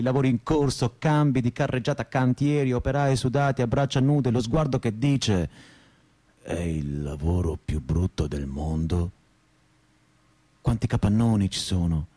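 A man acts out a groggy, disillusioned working guy in a radio play. The voice is dark and relaxed at a middling pitch, recorded close and dry, with a crude, deadpan drawl. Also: unhurried, no room sound, natural voice. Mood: weary, wry. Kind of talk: theatrical first-person monologue, radio drama.

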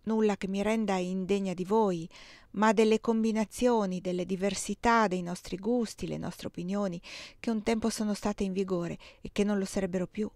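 A woman's voice: medium pitch, fairly even intonation, normal speed, a little mellow, no echo, close to an everyday voice. A woman voicing a torn, imploring young woman. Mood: tremulous. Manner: tremulous, imploring. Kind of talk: audiobook dialogue reading.

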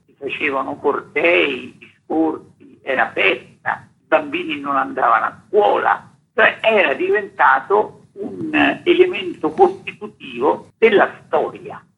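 An older man with a very raspy, gravelly voice, interviewed as an author. He explains things like a storyteller, emphatic and didactic, repeating phrases to drive each point home. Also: a little high, bright, forceful tone, low-quality recording. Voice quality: husky, rough, gravelly. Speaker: author being interviewed about a history book.

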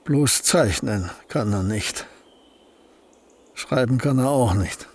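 An actor playing an aging male painter and poet in a radio play, speaking in a husky voice with a dry, reserved and curt manner. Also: mid-range voice, slow. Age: older.

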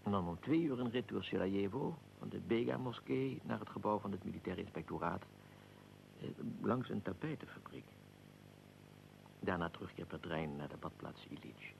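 An actor playing a dignified archduke in a radio drama, recounting events in a measured, formal, deliberate way. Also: male voice, deep voice, expressive, creaky, a little mellow, slight background noise, exaggerated voice, low-quality recording. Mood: measured recollection. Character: archduke, dignified aristocrat recounting his own assassination. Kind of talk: radio drama, recollection in interview form.

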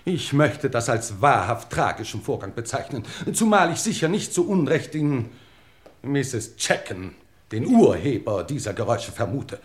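An actor playing a genteel, middle-aged lord in a 1950s German radio play, formal, ornate and deliberate in his phrasing. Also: mid-range voice, very animated. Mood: insistent, formal. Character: genteel, world-weary English lord, wryly self-justifying.

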